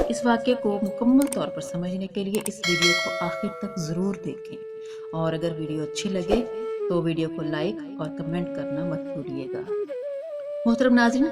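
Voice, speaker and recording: Loud flute music plays behind a woman storyteller. Her voice is fairly low, and she reads a scripted devotional moral tale.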